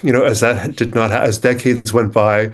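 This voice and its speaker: A man whose first language was Ukrainian speaks as a poet guest in an interview, talking in a thoughtful, searching, measured way and reading his own poems. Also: medium pitch, fairly even intonation. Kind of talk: conversational interview and poetry reading.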